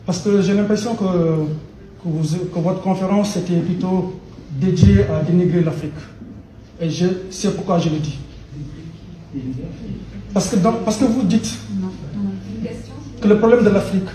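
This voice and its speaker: High-voiced man speaking from far in an echoey hall. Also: mellow, slight background noise. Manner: insistent, lecturing, stumbles and repeats words. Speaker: pan-African activist. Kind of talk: impassioned audience remarks in a debate. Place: conference hall. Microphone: far from the microphone.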